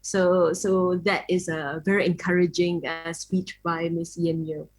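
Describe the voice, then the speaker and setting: A middle-aged woman moderates an online panel in a friendly way. She pauses often on hesitant fillers as she introduces each speaker. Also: fairly low pitch, little pitch movement. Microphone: video call.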